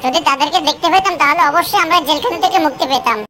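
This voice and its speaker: A woman's voice: high voice, very fast, sharp tone, a little hiss or hum. A woman voicing an anxious but friendly young mermaid princess in a children's cartoon, earnest and plaintive. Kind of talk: children's cartoon story acting.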